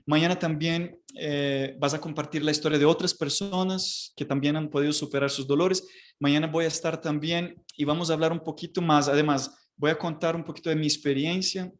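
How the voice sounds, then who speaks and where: A man's voice: on the high side, fairly even intonation, a little fast. Hesitant male host, raised voice. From outside Colombia. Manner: hesitant, many fillers and restarts. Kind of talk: hosting a live online program.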